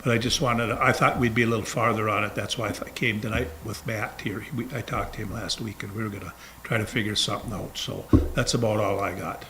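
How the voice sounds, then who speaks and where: A man's voice: lowish voice, steady tone, quick pace, few pauses, sharp tone, slight background noise. A plain-spoken man giving a casual event update at a city council meeting.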